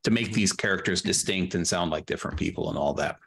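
Low-voiced middle-aged man chatting. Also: quick pace, gravelly, a bit bright. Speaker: audio drama sound producer, guest. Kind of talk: casual interview chat. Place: indoors, on a video call.

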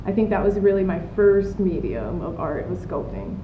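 A woman's voice: very animated, normal speed. A woman in her thirties from the United States, talking casually and reflectively in an interview, with many fillers and restarts, recorded outdoors on a patio. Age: 30s. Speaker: artist being interviewed. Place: outdoor patio of an art studio. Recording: roomy, dull outdoor recording with rumble.

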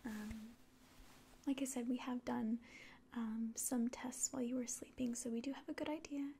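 A young woman performing a whispered ASMR roleplay as a calm alien official, speaking to the listener in a soft, breathy voice with hesitant pauses. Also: on the high side. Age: young adult. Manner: slow, gentle, hesitant pauses. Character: calm, condescending alien official examining a human. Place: small indoor room.

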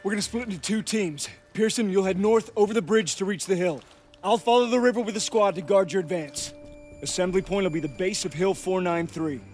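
High-voiced, firm army officer, acted by a man. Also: brisk, a little mellow, natural voice. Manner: firm, authoritative. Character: commanding American army officer briefing squads. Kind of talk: dramatic video game war acting. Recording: music under the voice.

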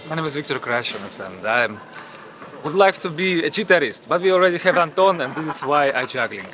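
A man's voice: on the high side, animated, fairly quick, over music. A man in his thirties with a Russian accent, a juggler playfully introducing himself. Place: theatre stage.

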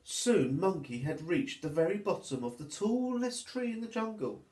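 A man, a teacher reading a storybook to children in an expressive, deliberate way. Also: high-pitched, slight background noise. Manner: expressive, deliberate, addressing young listeners. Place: small plain room at home, indoors. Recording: echoey small room.

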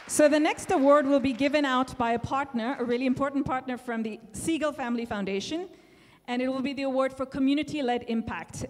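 A woman's voice: sits high, a little fast. A woman hosting an awards event, announcing winners in an upbeat way, calling for applause, and speaking into a handheld microphone on a stage. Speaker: event host. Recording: reverberant event hall.

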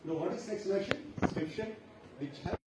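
A man's voice: high-pitched, brisk. A man with an Indian English accent explaining points of law in a formal presentation. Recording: very echoey hall from far off, hiss.

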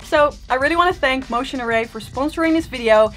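A woman's voice: high-pitched, fast, fairly bright tone. A young woman in her twenties talking casually and enthusiastically to the camera in a small bedroom. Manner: bubbly, enthusiastic. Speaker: vlogger. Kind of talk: casual vlog talk to camera.

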